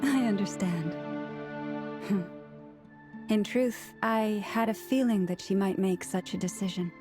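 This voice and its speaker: An actress plays a gentle, courteous young woman in an animated game. Her voice is mid-pitched, and she speaks with formal, measured composure. Soft music runs behind her. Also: close to an everyday voice. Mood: thoughtful.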